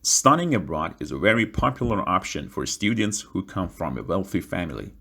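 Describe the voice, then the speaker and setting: Male exam-practice reader, creaky low voice, scripted read-aloud delivery. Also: sing-song, fairly quick, sharp tone.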